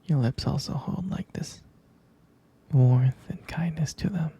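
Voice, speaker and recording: ASMR roleplay boyfriend, male actor: tender and devoted, hushed and intimate, gravelly fry, unhurried delivery. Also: on the high side, heavily acted. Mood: adoring. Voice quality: breathy, husky whisper. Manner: slow, doting, lingering over words. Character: tender, devoted boyfriend comforting his pregnant partner.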